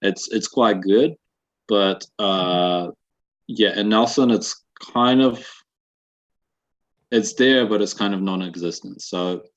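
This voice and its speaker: A man in his twenties from Russia, with a Russian accent softened by New Zealand, answering interview questions in a halting way with many fillers, on dull lo-fi webinar audio. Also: on the low side. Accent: Russian with a slight New Zealand accent. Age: 20s. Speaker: guest.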